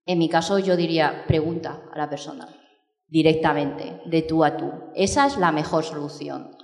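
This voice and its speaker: Woman filmmaker, thinks out loud, lots of "eh", echoey big room. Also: low voice, normal speed. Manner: reflective, many fillers and restarts. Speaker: documentary filmmaker. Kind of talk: thoughtful interview talk. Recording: echoey room with long reverb.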